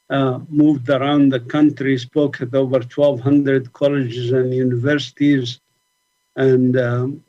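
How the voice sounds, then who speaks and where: A middle-aged man from Palestine speaks at a measured pace, pausing often with ums and going back over his words. His pitch sits in an ordinary middle range. The audio is slightly muffled and lo-fi. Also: darkish tone. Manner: thoughtful, halting, many ums and restarts. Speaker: conference speaker. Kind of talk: talk about his life and activism.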